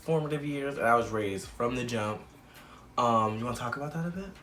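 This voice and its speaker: A man in his mid twenties hosts a casual talk with animated, slangy energy. His voice sits in the middle range, and faint noise hums under it. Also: mid-range voice, fairly quick. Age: 20s. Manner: animated, hypes up the listener, slangy. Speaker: host. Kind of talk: casual chat.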